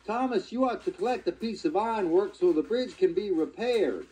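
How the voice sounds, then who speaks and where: A woman voicing a male signalman in a children's storybook, calling out urgent instructions deliberately. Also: mid-range voice, expressive, dark tone, far from the mic, pitched above the performer's own voice, close to an everyday voice, poor audio.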